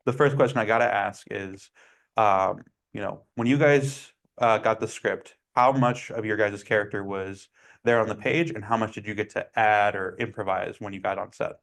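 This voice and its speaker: A man's voice: fairly low pitch, normal speed, creaky, a bit bright. Chatty, enthusiastic male interviewer. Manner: chatty, enthusiastic, many fillers like "like". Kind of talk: casual interview questions.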